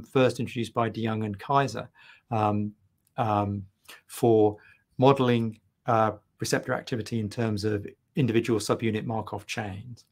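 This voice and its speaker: A middle-aged man giving a keynote lecture over slides on a video call, full of ums and restarts. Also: mid-range voice. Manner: hesitant, many ums and restarts. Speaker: keynote speaker. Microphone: webcam on video call.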